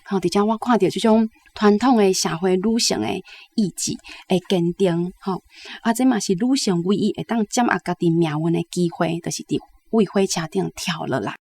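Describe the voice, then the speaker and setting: A woman with a Taiwanese accent offers literary commentary. Her voice is husky and of middling pitch. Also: normal speed, hardly any pauses, darkish tone.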